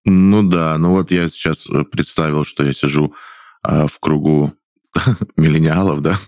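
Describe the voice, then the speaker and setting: A young man with a low, deep voice chats hesitantly, pausing and restarting often and chuckling between thoughts. The sound is dry and close, with no room echo. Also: fairly even intonation, darkish tone. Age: 20s. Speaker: guest actor. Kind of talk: casual studio chat.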